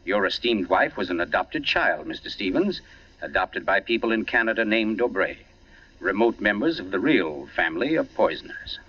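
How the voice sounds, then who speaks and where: An actor playing a suave older writer turned detective in a 1940s radio mystery, urbane, pompous and precise with a mocking edge. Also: male voice, lowish voice, very animated, normal speed, slightly rough. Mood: smug, explaining. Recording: dull, lo-fi 1940s radio recording.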